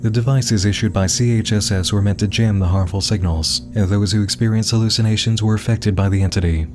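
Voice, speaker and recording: Low-pitched man narrating over music. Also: steady tone, brisk. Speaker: narrator and host of a web series explainer. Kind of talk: scripted narration.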